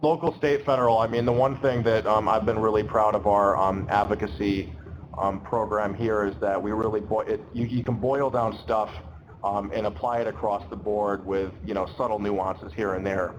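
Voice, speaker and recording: A man with a somewhat low voice, a little hoarse as he gets over an illness. He answers questions in a relaxed, um-heavy way. His webinar audio carries a steady layer of clear background noise. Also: moderate pace. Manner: many ums, loose and conversational. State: getting over an illness, slightly losing his voice. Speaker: advocacy program staff.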